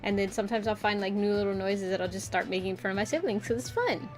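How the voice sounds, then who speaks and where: Young woman, casual stream chat, medium pitch, giggly, rambling, quiet music behind. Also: fast talker. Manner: rambling, lots of "like" fillers; giggly, playful voice play.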